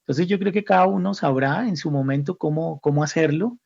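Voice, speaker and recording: Gravelly Colombian man, halting and reflective. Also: a little high, a little mellow. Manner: halting, repeats words while thinking. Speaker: academic guest, institute director. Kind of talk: reflective interview conversation. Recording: slightly dull, muffled audio.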